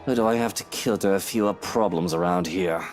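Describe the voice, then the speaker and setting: A man's voice: low-pitched, a little fast, over music, close to an everyday voice. A man playing a weary, irritable middle-aged businessman in a video game. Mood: weary, irritated.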